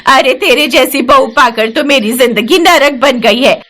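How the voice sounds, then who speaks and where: A woman's voice: high voice, unhurried, pushed and bright. A woman voicing a bitter, taunting old mother-in-law in a dramatised moral story, nagging theatrically. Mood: scornful. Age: older. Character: bitter, scolding old mother-in-law berating her daughter-in-law.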